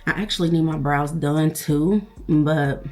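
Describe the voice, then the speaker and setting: A woman's voice: sits low, a little slow, a little mellow, soft background music. A woman, chatting casually and confidingly with her viewers while doing her makeup at home. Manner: chatty, confiding, addresses viewers as girl and y'all. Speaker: beauty vlogger. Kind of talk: casual get-ready-with-me chat.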